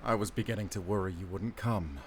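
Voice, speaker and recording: A man's voice: deep voice, brisk, close to an everyday voice. A man playing a grim, commanding werewolf hunter, speaking tersely in an audio drama. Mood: grim defiance. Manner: terse, tense, giving orders. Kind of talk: cinematic audio drama acting. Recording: clear background noise.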